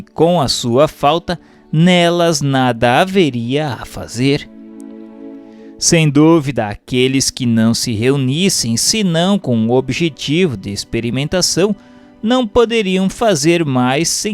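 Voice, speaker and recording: A Brazilian man reading a book aloud as scripted audiobook narration, in a measured, formal way. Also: medium pitch.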